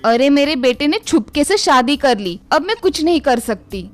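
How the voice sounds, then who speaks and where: A woman playing a dramatic, scheming, complaining Indian mother-in-law in an animated family story. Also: high-pitched, moderate pace, no echo, soft background music. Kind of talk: animated Hindi moral story dialogue.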